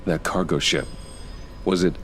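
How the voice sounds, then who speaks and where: An actor playing a stern, controlled masked vigilante detective in a video game, speaking in a grave, measured, terse way. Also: male voice, sits low, steady tone, a little hiss or hum. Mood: analytical. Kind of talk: dramatic video game acting.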